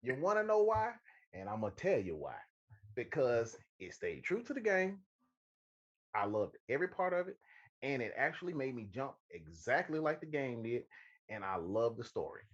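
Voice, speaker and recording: Animated, husky man teasing. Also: mid-range voice, normal speed. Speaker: co-host of a movie and video game show. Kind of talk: casual playful banter. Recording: dull, muffled audio.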